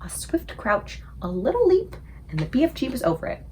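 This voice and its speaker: Animated woman reading a children's story aloud. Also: high-pitched, normal speed, in a whisper. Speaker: read-aloud book club reader. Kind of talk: expressive children's story narration.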